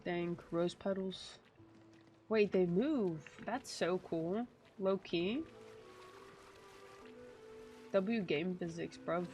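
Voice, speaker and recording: Slow-paced playful woman game streamer. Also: fairly low pitch, darkish tone, soft background music. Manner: slangy, playful, echoes game lines aloud. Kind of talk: casual playthrough reaction commentary.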